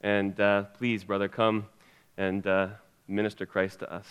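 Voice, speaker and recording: A man's voice: on the low side, level tone, a little slow, a bit bright, clean tone. A man introducing a guest preacher at church with rambling jokes and many fillers. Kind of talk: humorous introduction.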